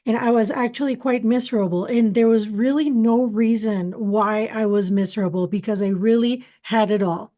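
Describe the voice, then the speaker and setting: A woman's voice: a little high, steady tone, normal speed, few pauses, mellow. A middle-aged woman from Costa Rica whose first language is Spanish, telling her life story earnestly as an interview guest. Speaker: guest, spiritual channeler. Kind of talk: interview answers, personal story.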